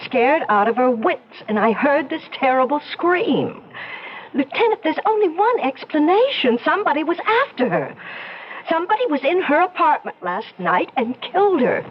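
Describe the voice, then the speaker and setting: A woman's voice: high voice, big pitch swings, normal speed, dull audio. An actress in an old-time radio drama playing an anxious, insistent young lady, earnest and well-spoken, worried for her sister. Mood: grieving, insistent. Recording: band-limited radio drama with music.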